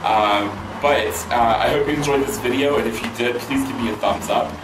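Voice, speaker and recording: A man hosting a vlog, answering viewer questions in casual chat, hoarse with a worn-out voice and rambling with many fillers and restarts. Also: medium pitch, moderate pace. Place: outdoors near a pool with loud music. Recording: roomy, mic at a distance, loud music behind.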